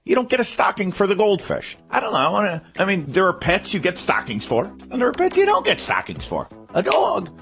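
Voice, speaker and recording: A man's voice: sits high, creaky, a bit bright, husky. Wry man telling a comic story. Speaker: segment host.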